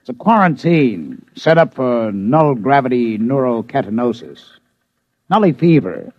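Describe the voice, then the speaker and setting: Sour sardonic american news chief, mid voice, old radio drama, dull recording. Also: male voice, medium pitch, big pitch swings, a little slow. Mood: cautious, warning. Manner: dry, sardonic. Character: sour, jaded male news bureau chief on Mars. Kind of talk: 1950s radio drama acting.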